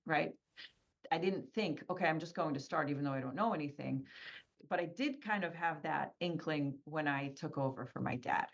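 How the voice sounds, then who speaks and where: A woman's voice: sits low, dull audio. A woman whose first language is Polish, a business owner talking earnestly in an interview, often checking in with "right?" and repeating her points. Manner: earnest, tag questions "right?", emphatic repetition. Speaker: guest, scaffolding business owner. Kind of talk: conversational interview.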